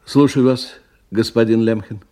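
An actor playing a middle-aged businessman in a radio drama, ironic and musing, in an unhurried way. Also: medium pitch, fairly even intonation, dark tone, natural voice. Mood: obliging, brisk. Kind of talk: radio drama acting, interior monologue.

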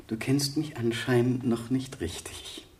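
An actor playing an anxious, loving vicar and uncle in a radio drama, earnest and emphatic. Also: male voice, medium pitch, steady tone, unhurried, echoey room, lightly acted. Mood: agitated.

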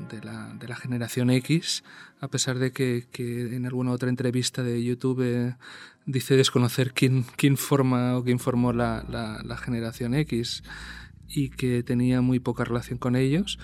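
A man with an accent from Spain discussing casually as a co-host, halting and rambling, often repeating words. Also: mid-range voice, vocal fry, darkish tone. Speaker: show co-host and commentator.

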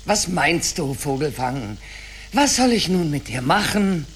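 A woman's voice: low-pitched, sing-song, measured pace, slight background noise. An actress playing an imperious, scheming witch queen in a radio play, commanding and silkily menacing. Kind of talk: German radio play acting. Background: music.

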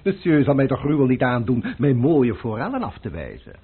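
A man's voice: mid-range voice, very animated, a little fast, husky. An actor playing a proud, haughty restaurant owner and chef who insists ceremoniously on serving his dishes. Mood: pompous. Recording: tinny old radio recording.

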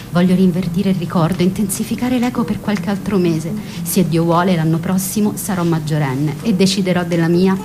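A teenage girl in love acted by a woman reads her letters in a radio drama. The voice is slightly low, intimate and full of yearning, with music clearly heard beneath it. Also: natural voice. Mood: pleading, loving. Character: passionate teenage girl longing for her distant sweetheart. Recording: music under the voice.

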